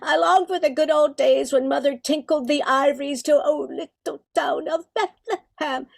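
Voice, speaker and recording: A woman's voice: high-pitched, normal speed, vocal fry. A woman playing a wistful, prim Christmas carol come to life, lamenting genteelly in an amateur radio comedy. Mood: bitter, nostalgic. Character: wistful, fretful female Christmas carol personified, nostalgic and prim. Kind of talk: amateur old-time radio comedy acting.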